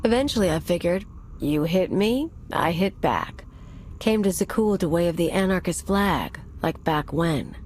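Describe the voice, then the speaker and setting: Low-pitched female game anarchist, brash, cynical, clipped, tough, faint ambience behind. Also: lively intonation, unhurried, darkish tone, slight background noise. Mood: cynical, defiant. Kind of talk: video game cutscene acting.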